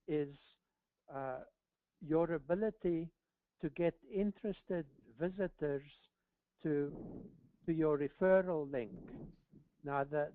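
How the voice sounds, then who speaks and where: A man answering callers' questions on a live call, speaking haltingly and deliberately and often repeating words. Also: fairly high pitch, dry recording. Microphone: phone or conference call line. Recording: narrow phone-line sound.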